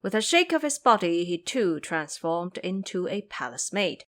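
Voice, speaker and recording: A woman's voice: on the low side, sing-song, normal speed. A woman narrating a scripted fantasy story in a dramatic storytelling style.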